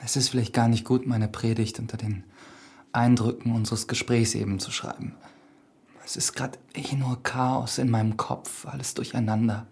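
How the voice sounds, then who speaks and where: An actor playing an earnest, defiant priest in a German radio drama, with a solemn rhetorical delivery. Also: male voice, medium pitch, level tone, normal speed, creaky, a little mellow, slightly rough. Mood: flustered, hushed. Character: earnest young priest, defiant preacher of forbidden truth. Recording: studio drama with prominent music behind.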